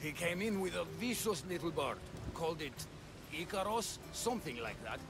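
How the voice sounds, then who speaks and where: An exasperated village leader from an ancient Greek adventure game. His voice sits high and swings widely, blunt and sardonic, with music underneath. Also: lively intonation, moderate pace. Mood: sardonic, pragmatic. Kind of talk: video game cutscene acting.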